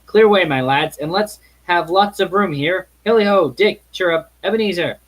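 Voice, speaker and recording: A hearty, stout old merchant bellows with cheer, as a male reader puts him on. The voice rises well above the reader's usual pitch and comes out loud and booming. He's a generous, merry employer. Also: expressive, moderate pace, rough recording. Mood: jolly, hearty.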